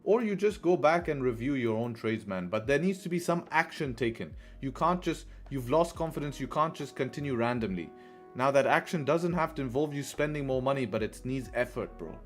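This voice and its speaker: A young man talks loosely into a headset, easygoing and repetitive, dropping bro and man as he chats to viewers. His voice sits at an ordinary middle pitch, with soft music faintly underneath. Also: fairly quick. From Dubai. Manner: relaxed, repeats phrases, says bro and man. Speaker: forex trading streamer. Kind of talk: casual live-stream commentary. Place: small room indoors.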